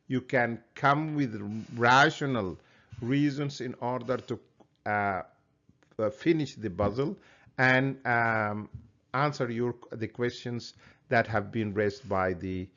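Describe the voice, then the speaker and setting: A man's voice: medium pitch, slow, bright, forceful tone, dull audio. A man teaching biology with a Sudanese accent that leans American, hesitant and full of fillers and restarts as he explains his course plan. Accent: Sudanese English with an American lean. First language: Arabic. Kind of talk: recorded lecture introduction.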